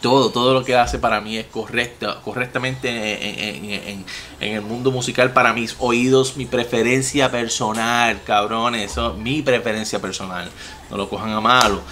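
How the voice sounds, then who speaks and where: Animated Puerto Rican man, bright voice. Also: mid-range voice, moderate pace, a little hiss or hum. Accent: Puerto Rican Spanish. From Puerto Rico. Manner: animated, profane, gestures a lot while talking. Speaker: music reaction video host. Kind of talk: casual reaction vlog. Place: home room with TVs and gaming gear, indoors.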